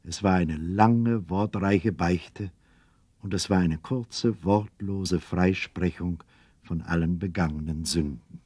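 Earnest radio-play narrator, mellow, slightly dark, husky voice. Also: male voice, deep voice, slow pace, no echo, exaggerated voice. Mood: measured, earnest. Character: measured, earnest storyteller of a children's novel. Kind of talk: scripted storybook narration.

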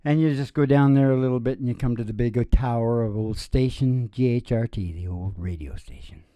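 A middle-aged man with a dark, mellow voice rambles through improvised stage banter. His delivery is folksy and halting, with sentences that trail off, and the sound is close and dry. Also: medium pitch, dry recording. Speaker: podcast host and singing DJ. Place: small indoor stage with curtain backdrop. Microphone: stand microphone, close.

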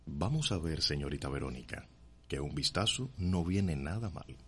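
A courtly period doctor, his voice extremely deep. He is self-assured, formal and wryly jovial, in the manner of radio drama. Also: lively intonation, moderate pace, breathy edge, full character voice. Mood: wry, courteous.

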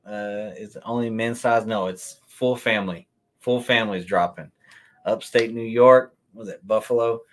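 A man in his thirties talks loosely to his livestream audience, answering chat questions as they come. His voice sits on the low side, easygoing and unhurried. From Kentucky. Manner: relaxed, chatty, answers chat questions on the fly. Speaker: sneaker livestream host. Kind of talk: casual livestream chat reading viewer comments. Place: room with shelves of sneakers, indoors.

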